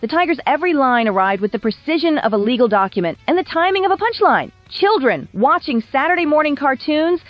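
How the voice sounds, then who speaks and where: A woman's voice: high-pitched, expressive, a little fast, hardly any pauses, no room sound, muffled recording, faint music behind. A woman narrating a scripted documentary with wry, polished storytelling. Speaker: video essay narrator.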